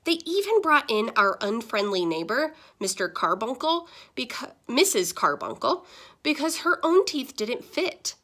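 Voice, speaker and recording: A woman reads a children's story aloud in a bright, very animated voice. She takes it slowly and expressively, pausing to speak to her listeners. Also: fairly high pitch. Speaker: story reader. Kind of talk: children's picture book read-aloud with asides to listeners.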